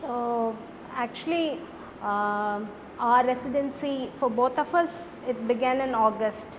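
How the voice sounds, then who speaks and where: High-pitched Indian woman in a reverberant hall. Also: unhurried. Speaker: researcher presenting a residency project. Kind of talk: unscripted panel presentation. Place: large indoor event space. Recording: reverberant hall with faint noise.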